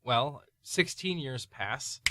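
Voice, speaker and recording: A man's voice: mid-range voice, expressive, slow pace, sharp tone. A man playing Bear, a wise, welcoming husband who writes plays, in an easygoing, rambling manner. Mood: calm, wry. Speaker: cottage host and husband. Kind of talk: amateur radio play.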